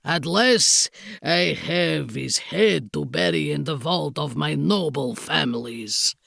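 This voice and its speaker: Slow, low, sneering foreign count. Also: female voice, creaky, a bit bright, dry recording, clean studio sound, heavily acted. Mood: menacing. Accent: thick Eastern European. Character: gloating villainous foreign count, menacing and ungrammatical.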